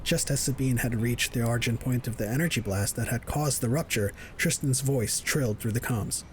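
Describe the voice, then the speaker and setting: Mid-pitched male story narrator. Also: moderate pace, slight background noise. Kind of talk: scripted audio drama narration.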